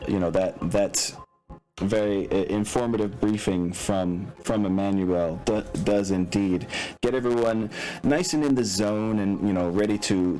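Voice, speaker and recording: A man's voice: deep voice, darkish tone, rough recording. A man speaking casually as game master of a tabletop game, drawing out phrases for emphasis. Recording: music behind the voice. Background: background music.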